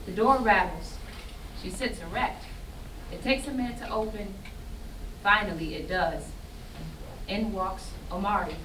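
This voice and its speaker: Slow woman reading stage directions, echoey room. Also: medium pitch. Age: young adult. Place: indoor staged reading before festival, distant mic. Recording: roomy, distant stage pickup, clear noise.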